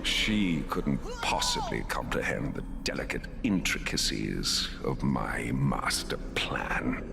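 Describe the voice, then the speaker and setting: A man's voice: a bass voice, sing-song, slow pace, vocal fry, exaggerated voice. A man voicing a grandiose, gloating conqueror villain in a video game, theatrical and pompous with ornate diction. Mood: gloating, menacing. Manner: pompous, savoring ornate words. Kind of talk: animated video game cutscene acting. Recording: loud cinematic music behind.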